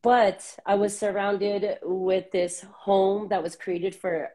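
A woman in her thirties from Southern California, a guest talking reflectively over a video call on earbuds, with many pauses and fillers. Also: medium pitch, flat delivery. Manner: warm, reflective, many ums. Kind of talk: casual interview on a video call. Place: small room indoors.

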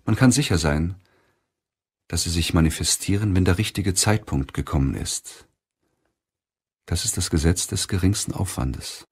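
Deep-voiced man narrating an audiobook. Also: expressive, moderate pace, pauses often, breathy edge. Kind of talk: scripted audiobook narration of a self-help book.

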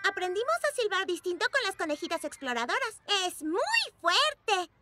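A woman's voice: extremely high pitch, very animated, moderate pace, loud music behind the voice. A woman playing a confident, eager young girl rabbit scout who encourages others in a children's cartoon dub. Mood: eager, proud.

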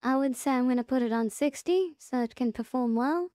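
A woman presenting a casual, step-by-step software tutorial in a plain-spoken, instructional way. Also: sits high, brisk, no echo, studio quality.

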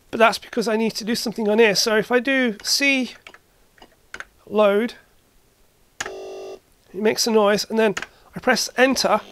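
A hobbyist host giving a casual hands-on demonstration, halting and matter-of-fact, thinking aloud while working. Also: medium pitch, fairly bright tone. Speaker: host, retro computer hobbyist. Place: indoor cluttered workshop.

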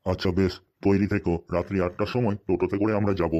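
A wily, tight-fisted cartoon husband played by a man with a low-pitched voice and a rural western Bengal accent. He talks in a folksy, wry way, always a bit henpecked. The voice track sounds dull and lo-fi. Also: fairly even intonation, fast talker, mellow, close to an everyday voice. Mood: placating. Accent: rural western Bengal Bengali dialect. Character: sly, penny-pinching henpecked husband in a comedy cartoon. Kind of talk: comic cartoon dialogue.

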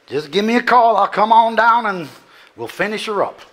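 A man's voice: sits high, animated, normal speed, fairly bright tone. A man playing a folksy, businesslike small-town lawyer with a Southern US accent on a theater stage. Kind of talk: live stage acting. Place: theater stage before audience.

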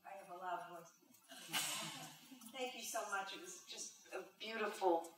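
A woman's voice: mid-range voice, slow, distant mic. A woman in the audience asking the speaker a question, appreciative and polite. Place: large lecture room. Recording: echoey hall, handheld mic from afar, faint hum.

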